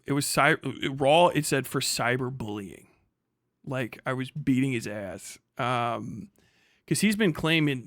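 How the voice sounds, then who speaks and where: A man's voice: medium pitch, a bit bright, no room sound, studio quality. A man livestreaming casual reaction commentary, swearing freely, mocking and often laughing as he talks. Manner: profane, mocking; laughs often while talking.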